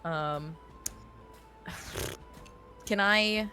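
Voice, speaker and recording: Bright-toned tabletop player. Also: mid-range voice, very slow, faint music behind. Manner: casual, hesitant. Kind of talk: tabletop game play.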